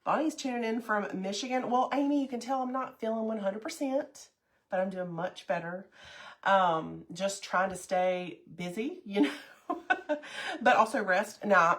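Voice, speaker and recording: A woman's voice: on the low side, fairly bright tone. Stuffy-voiced chatty woman. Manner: chatty, bubbly, greets viewers by name. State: stuffy from a cold. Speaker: craft livestream host. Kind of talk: casual livestream chat with viewers.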